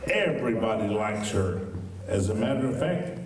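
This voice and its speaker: A man's voice: fairly low pitch, animated, moderate pace, close to an everyday voice. A man playing a fretful, talkative general store keeper in a live old-time radio western, folksy and rambling. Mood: fond, admiring. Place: high school auditorium stage. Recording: echoey stage, distant mic, faint noise.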